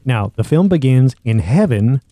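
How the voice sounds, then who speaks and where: A man narrates as a podcast host, conversational though working from notes. His voice is mid-pitched and mellow, recorded dry and close. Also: animated, a little slow, dry recording.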